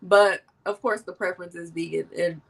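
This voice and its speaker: A woman's voice: fairly low pitch, normal speed, vocal fry, distant mic, poor audio. Woman host, a bit nasal from a cold. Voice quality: animated and laughing often. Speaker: podcast host. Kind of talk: casual conversation.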